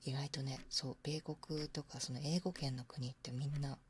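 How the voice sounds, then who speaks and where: A woman hosting an ASMR livestream, chatting casually in a soft, hushed voice that often slips into whispers, close to a binaural microphone. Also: a bass voice, fairly even intonation, fast. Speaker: livestream host. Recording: close binaural capture with faint noise. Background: faint noise, soft clicks of a ticking clock.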